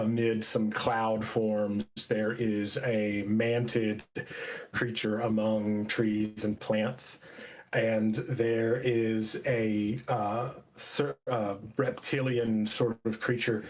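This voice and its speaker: A man improvising narration as game master of a tabletop game, in a halting manner as he searches for words. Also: fairly low pitch, low-quality recording.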